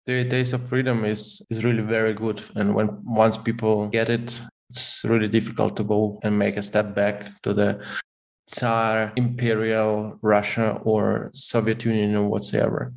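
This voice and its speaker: A young man speaks English in a measured, conversational way. His voice is fairly low and stays flat in pitch. He pauses with light fillers. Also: creaky. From Ukraine. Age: young adult. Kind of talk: casual conversation.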